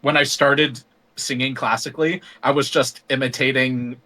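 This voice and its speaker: A man talking as a guest singer in a casual interview, thoughtful and rambling with many pauses and ums. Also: mid-range voice, steady tone, sharp tone.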